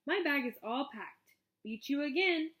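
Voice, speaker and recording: A woman's voice: high-pitched, animated, unhurried, fairly bright tone, pitched above the performer's own voice. Woman voicing a proud, competitive little girl. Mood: boastful. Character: competitive young girl, proud rival of her brother.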